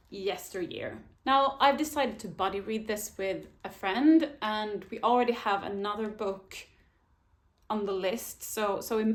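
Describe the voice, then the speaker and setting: A woman chatting casually to camera about her reading year, often hesitating and restarting her sentences. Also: mid-range voice. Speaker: book vlogger. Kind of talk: casual book talk to camera.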